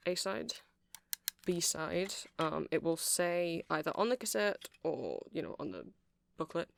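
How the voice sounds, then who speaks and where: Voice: mid-range voice, lively intonation, measured pace, creaky, noisy background. A performer voicing a chatty, nerdy male vampire shopkeeper in an ASMR roleplay, rambling hesitantly and apologetically as they explain things. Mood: rambling, explanatory. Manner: hesitant, rambling, self-correcting. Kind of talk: ASMR roleplay, casual rambling sales chat.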